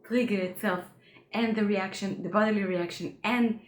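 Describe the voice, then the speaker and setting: Woman, earnest halting solo talk, restarts often, echoey room. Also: mid-range voice, steady tone, a little hiss or hum. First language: Hebrew. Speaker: coach for highly sensitive people. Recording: roomy echo.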